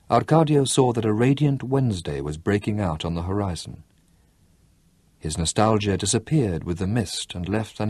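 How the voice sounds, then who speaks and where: A man narrating a novel as an audiobook, in a measured storytelling manner. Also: on the low side, darkish tone, slightly rough, dry recording.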